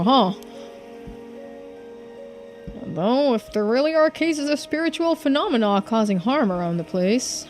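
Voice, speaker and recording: A woman's voice: mid-range voice, a little mellow, lightly acted. A woman voicing a casual, sceptical police investigator in a video game, informal and a little hesitant. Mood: bemused. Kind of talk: video game dialogue read-through.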